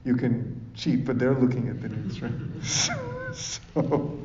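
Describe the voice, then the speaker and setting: A man's voice: medium pitch, huge pitch swings, takes it slowly, a little mellow, a little hiss or hum. A man from Sri Lanka giving an informal talk as a speaker, in a conversational manner that keeps checking for agreement. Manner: conversational, often seeks agreement with tag questions. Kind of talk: informal public talk with audience questions. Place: echoey room in front of an audience. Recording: roomy, dull, distant microphone recording.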